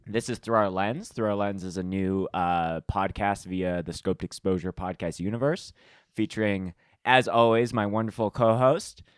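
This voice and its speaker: Male podcast co-host, informal and chatty, slightly low register, dry close sound. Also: steady tone, moderate pace, clean tone, no room sound. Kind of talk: casual podcast chat.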